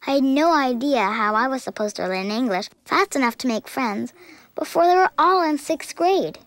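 A woman voicing an earnest, anxious seven-year-old immigrant girl, narrating her own story in an animated short with childlike, measured candour. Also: sits high, no room sound. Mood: anxious, urgent. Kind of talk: scripted first-person narration for animated short.

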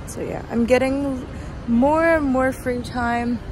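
Sleepy young woman doing a walking vlog, slow rambly chat, traffic noise behind her. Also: sits high, fairly even intonation. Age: young adult. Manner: halting, rambling, self-deprecating. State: tired, sleep deprived. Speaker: vlogger, student performer. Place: outdoors on a city street at night, walking. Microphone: phone at arm's length. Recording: noisy outdoor street, traffic ambience.

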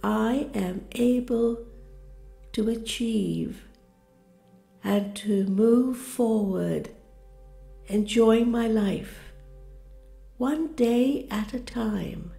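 A woman narrating a scripted guided meditation with soothing affirmations in a calm, gentle, deliberate manner. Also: fairly high pitch, gravelly. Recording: roomy reverberant voice over music. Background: music.

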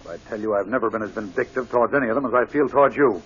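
Blunt, slightly husky radio police inspector. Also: male voice, lowish voice, rapid-fire, fairly bright tone. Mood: stern, bitter. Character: earnest, gruff male police inspector, blunt and loyal. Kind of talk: 1940s radio drama acting. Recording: dull old radio broadcast.

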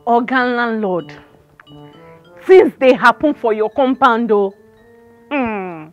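A woman's voice: fairly high pitch, lively intonation, takes it slowly, dull audio, soft background music. A woman with a Nigerian accent playing a dramatic, gossipy, pious compound neighbour in a comedy film. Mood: conspiratorial alarm. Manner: dramatic, emphatic.

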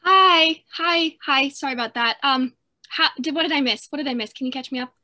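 A man's voice: very high voice, a little fast, pushed and bright, voice pushed up, full character voice. A man voicing a flustered woman writer who arrives late to a video call and talks in a breathless, apologetic way.

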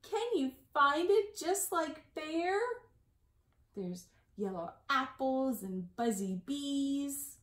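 A woman in her forties reading a picture book aloud to young children, with sing-song emphasis and drawn-out key words. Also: sits high, big pitch swings, a bit bright. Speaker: library storytime reader. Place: small indoor room at home. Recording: echoey room, slightly distant mic.

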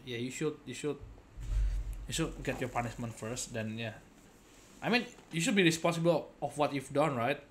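A young man giving casual gameplay commentary as a streamer, with halting, repetitive phrasing. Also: mid-range voice, a bit bright. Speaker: game streamer. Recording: clear background noise, game ambience.

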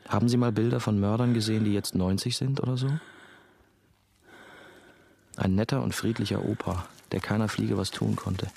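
A man's voice: on the low side, steady tone, moderate pace, vocal fry, long pauses, darkish tone, slight background noise. An actor playing a brooding former novice who narrates his story in a reflective radio drama style. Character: brooding male ex-novice, nursing-home worker, telling his story. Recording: music behind the voice.

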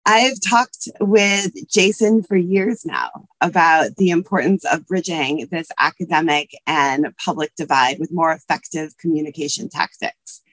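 A woman's voice: deep voice, unhurried, hardly any pauses, a bit bright, no echo. A woman in her thirties, a history professor, giving prepared remarks on a video call from her office. Her voice is scratchy from allergies, and she talks earnestly with frequent fillers. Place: office with bookshelves. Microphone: webcam video call.

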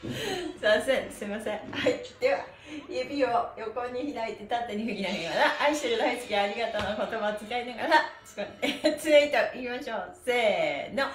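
Woman, middle-aged, slightly high voice, cheerful stretch instructor, giggly chatty asides, roomy echo. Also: normal speed. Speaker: stretching instructor and livestream host. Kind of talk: live stretch class, chatty instruction with chanted counts. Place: small bare-walled room indoors, seated on mat.

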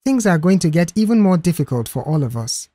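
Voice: medium pitch, very animated, a little fast, mellow, no room sound. A storyteller voicing a cautious, worried young boy who gives advice earnestly.

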